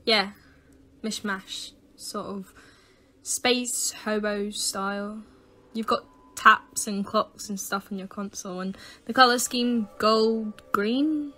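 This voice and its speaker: A woman's voice: medium pitch, steady tone, takes it slowly, sharp tone. A woman playing a sceptical, forthright young university student in a sci-fi audio drama, dry and questioning. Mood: curious, teasing.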